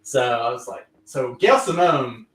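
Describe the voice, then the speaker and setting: A man's voice: medium pitch, expressive, unhurried, distant mic. A man from Louisiana hosting a casual comic book livestream, recapping stories in a rambling way with lots of fillers. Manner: rambling, filler-heavy recap with playful quoted voices. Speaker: comic book show host. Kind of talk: casual livestream review chat. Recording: roomy sound from away from the mic.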